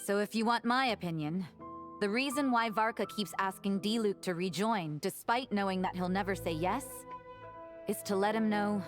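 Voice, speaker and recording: A thoughtful grown woman, voiced by an actress, recounts the past in a measured, reflective way. Her voice sits at an ordinary middle pitch. Faint game music plays behind her. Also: lightly acted. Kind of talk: video game dialogue.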